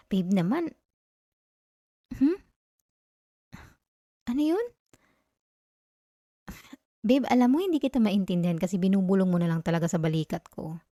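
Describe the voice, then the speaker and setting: A woman with a Filipino accent playing an affectionate, caring girlfriend in a soft, intimate ASMR roleplay, speaking gently and coaxingly. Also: mid-range voice, dry recording, studio quality. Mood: playfully flustered. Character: affectionate, caring, playfully scolding young girlfriend.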